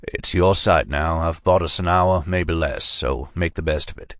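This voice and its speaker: A man's voice: low voice, moderate pace, no echo, studio quality, lightly acted. A man voicing a polished, cunning male FBI agent with a Southern accent, courteous but coolly commanding.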